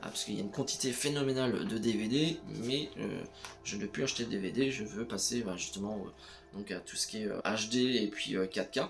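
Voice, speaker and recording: Chatty French vlogger, lots of hesitations. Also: male voice, lowish voice, fairly quick, vocal fry, faint music behind. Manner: hesitant, full of "euh" fillers, addresses viewer as "tu". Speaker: home cinema vlogger. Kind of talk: casual unscripted chat to camera.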